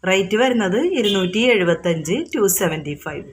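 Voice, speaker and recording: A woman's voice: lowish voice, moderate pace. A woman with a Kerala accent informally showing products item by item, explaining each and repeating prices in an unscripted, repetitive way.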